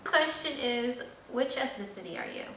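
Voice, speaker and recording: A woman's voice: on the high side, animated, normal speed, sharp tone. A young woman hosting her vlog, chatting casually and rambling with lots of fillers. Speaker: vlogger host. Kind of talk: casual chat. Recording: echoey room, slightly distant mic, faint noise.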